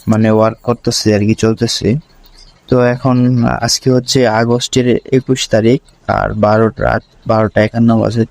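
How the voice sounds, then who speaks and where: A man walks through a tutorial one step at a time. His medium-pitched voice stays very even, with little melody. He repeats each step as he moves to the next, and the recording is dry, with no room sound. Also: no echo. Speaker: tutorial presenter.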